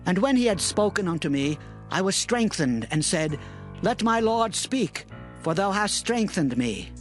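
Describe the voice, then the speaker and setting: Humble biblical prophet played by a man, high male voice, reverent and deliberate, faint music behind. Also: sing-song. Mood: humbly grateful. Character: humble, awestruck male prophet recounting his vision. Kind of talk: dramatized scripture narration.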